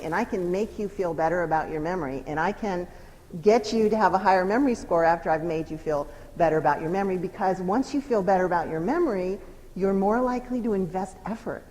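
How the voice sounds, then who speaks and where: A middle-aged woman giving a psychology lecture in a lively, emphatic, conversational teaching manner. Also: medium pitch. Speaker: psychology professor giving a talk. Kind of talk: lecture presentation. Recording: long hall reverb, faint noise.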